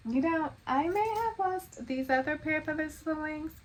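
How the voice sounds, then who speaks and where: A woman vlogger confiding her personal story to viewers in a casual, halting, rambling monologue. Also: high voice. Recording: clear background noise.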